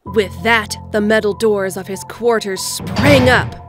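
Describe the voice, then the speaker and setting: A woman's voice: medium pitch, a little slow, fairly bright tone. Woman narrating a story over music.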